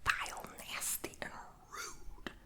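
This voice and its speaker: A young woman whispering as she chats while doing a puzzle, rambling, playful and blunt. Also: slow. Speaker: vlogger.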